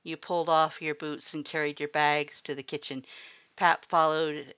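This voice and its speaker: A woman narrating a second-person fanfiction story in a steady, even storytelling voice. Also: low voice, moderate pace, clean tone, no echo, lo-fi sound. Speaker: fanfiction reader.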